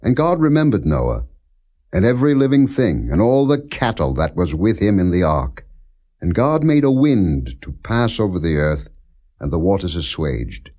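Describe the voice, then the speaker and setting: Male scripture narrator, low mellow voice, measured and solemn, reading without character acting. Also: sing-song. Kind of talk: non-dramatized scripture reading.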